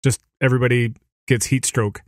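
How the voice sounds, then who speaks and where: A man's voice: medium pitch, steady tone, a little fast, dry recording, clean studio sound. A man co-hosting a podcast, talking casually and rambling, with frequent restarts and easy laughter. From Minneapolis area, USA. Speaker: podcast co-host.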